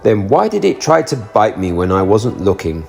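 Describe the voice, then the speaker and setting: A man's voice: on the low side, very animated, moderate pace, vocal fry, darkish tone, faint music behind. A man voicing a cheerful, bouncy, boisterous male tiger in a storybook reading.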